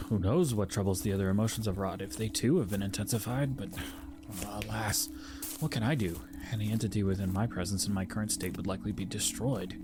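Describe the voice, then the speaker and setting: A man's voice: lowish voice, brisk, close to an everyday voice. A man playing a powerful, anguished spirit of fear who holds himself back, speaking formally in an audio drama. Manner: formal, archaic, solemn wording. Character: Fear, powerful anguished male emotion spirit, self-restraining, dreaded. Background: music under the voice.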